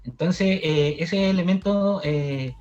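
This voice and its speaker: A Chilean man from the south, a sociologist, answering interview questions in a halting way with many restarts. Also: fairly high pitch, a little mellow, dull audio, soft background music. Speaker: sociologist guest.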